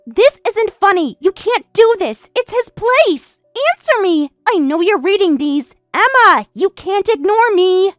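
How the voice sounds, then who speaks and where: A catty young home-wrecker with a very high, bouncy voice that swoops up and down as she taunts and brags, played by a woman. The sound is dry and close, and faint music plays under it. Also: big pitch swings, measured pace, no room sound, heavily acted. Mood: indignant. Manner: taunting, boastful, indignant. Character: smug, catty young woman who stole her best friend's husband. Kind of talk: voiced story drama.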